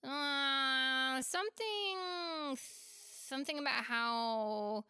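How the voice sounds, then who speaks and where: A woman voicing an excitable young boy bird who keeps giggling between his words and shouts eagerly. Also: high voice, extremely slow, no echo, voice pushed up, heavily acted. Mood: giggly.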